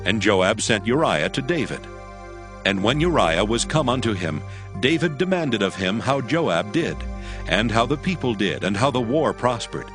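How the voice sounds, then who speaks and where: A man narrating scripture from a script in a formal, measured, solemn manner. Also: fairly low pitch, very animated. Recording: music behind the voice.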